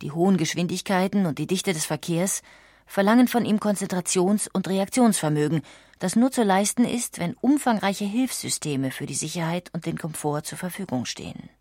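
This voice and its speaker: A woman narrates a documentary script in a lowish voice. Her delivery is measured and articulate, and the recording is dry and close, with very little room sound. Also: expressive, mellow, no room sound. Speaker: audiobook narrator.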